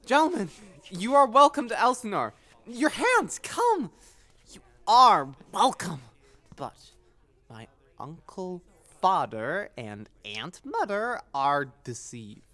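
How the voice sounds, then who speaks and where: Woman playing a mercurial young prince, slow, swooping delivery. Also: medium pitch, big pitch swings, a bit bright. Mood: sly, mocking. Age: young adult. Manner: theatrical, mercurial, sardonic. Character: Hamlet, witty, mocking young prince feigning madness. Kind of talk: Shakespearean audio drama acting.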